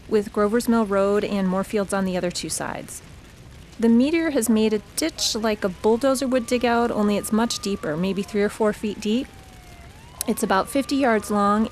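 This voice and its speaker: A woman's voice: medium pitch, darkish tone, slight background noise, natural voice. A woman playing a conversational, slightly uneasy field reporter describing a scene live in a radio drama. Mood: uneasy, observant.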